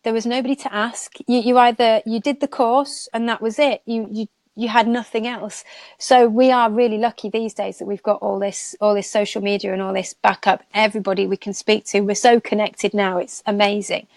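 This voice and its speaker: A woman's voice: mid-range voice, fairly even intonation, brisk, a little mellow, no echo. A woman in her thirties, a nail technician chatting casually as a guest and rambling with laughs. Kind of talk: casual live chat.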